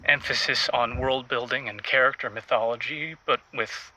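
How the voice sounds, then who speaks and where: A man playing an awkward, self-important novelist phoning a radio show in an audio comedy, speaking in a pompous, halting way. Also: medium pitch, fairly even intonation, sharp tone, slight background noise, rough recording. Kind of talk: audio comedy drama acting.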